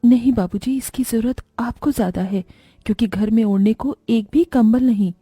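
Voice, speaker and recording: Woman acting a high, husky, earnest poor mother. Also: moderate pace, mellow, no room sound, studio quality, soft background music. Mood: gentle. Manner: earnest, emotional. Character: poor, hardworking mother, loving yet indignant and anguished.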